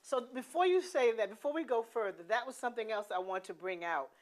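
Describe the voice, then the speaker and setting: A woman's voice: fairly high pitch, sing-song, quick pace. A woman hosting a cooking show, chatting playfully with her guest in a studio kitchen. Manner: chatty and playful, many mm-hmms. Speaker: talk show host. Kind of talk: casual chat on a cooking show.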